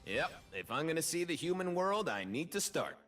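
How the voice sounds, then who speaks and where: A man's voice: a little high, big pitch swings, normal speed, a bit bright, soft background music. A man voicing a cocky, carefree bird-man performer in a video game, with a showy, swaggering, exclamatory delivery. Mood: upbeat, playful. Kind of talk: video game character voice lines.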